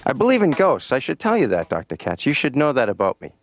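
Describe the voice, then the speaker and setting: A middle-aged man voicing a cartoon patient who tells stand-up jokes in a deadpan way, filling his lines with "you know.". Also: medium pitch, fast, over music. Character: comedian patient on the therapy couch.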